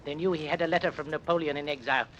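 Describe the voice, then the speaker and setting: An actor playing a gossipy, bitter tailor who tells tales eagerly in a 1930s radio drama. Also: male voice, a little high, steady tone, very fast, fairly bright tone, slight background noise, natural voice. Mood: confiding.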